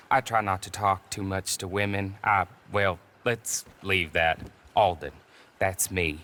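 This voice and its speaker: Glum frontier clerk, fairly low voice, hesitant, socially awkward, shady, Western game cutscene. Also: male voice, fairly bright tone. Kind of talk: dramatic game cutscene acting.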